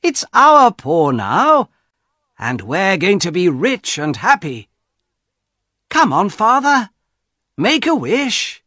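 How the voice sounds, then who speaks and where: A cheerful, excitable young son, as a male storyteller voices him, pitched high and pushing loud. He teases and coaxes with lively swings in his voice, recorded close and dry. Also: slow pace, long pauses, no echo, exaggerated voice. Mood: eager.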